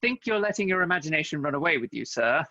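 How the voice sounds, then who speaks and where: A man's voice: a little high, animated, quick pace, pushed and bright, no room sound. A man playing a polite, earnest undercover detective posing as a waiter in a comic radio play. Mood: earnest, taking charge. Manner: courteous, earnest. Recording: dull home recording, music underneath.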